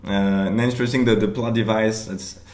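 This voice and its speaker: A man talking through his own improv show in a relaxed commentary, halting and restarting often, laughing along the way. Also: lowish voice, echoey room. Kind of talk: casual commentary over show footage.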